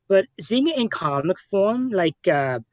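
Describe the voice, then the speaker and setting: A man's voice: sits high, sing-song, unhurried, dry recording, clean studio sound. A man chatting casually as a commentary guest, giving his opinions in an informal way. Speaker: commentary guest and amateur voice actor.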